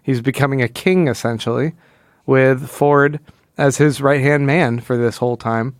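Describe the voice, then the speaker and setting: A man's voice: medium pitch, fairly even intonation, normal speed, no echo, clean studio sound. A man co-hosting a podcast, talking casually through a comic book in a rambling, explanatory way. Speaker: podcast co-host.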